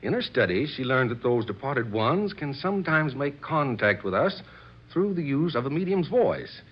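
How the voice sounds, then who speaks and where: Effusive husky gentleman, swooping delivery. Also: mid-range voice, very animated, moderate pace. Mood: eager, explaining. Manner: theatrical, effusive, old-fashioned clubman's speech. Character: excitable, devoted gentleman enthusiast of séances, blustering yet courteous. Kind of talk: old-time radio drama acting. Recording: dull old radio recording.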